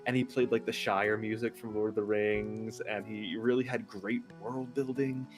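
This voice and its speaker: A man's voice: lowish voice, flat delivery, normal speed, over music. A man chatting casually as a stream guest, slangy, informal and enthusiastic in the way he talks. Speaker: stream guest, ASMR voice actor. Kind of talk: casual chat.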